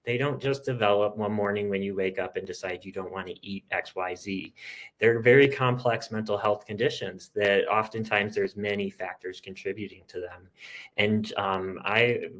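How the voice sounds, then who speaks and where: A man's voice: on the low side, fairly bright tone, poor audio. A man interviewing a guest, thanking her and asking thoughtful, supportive questions. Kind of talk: interview conversation.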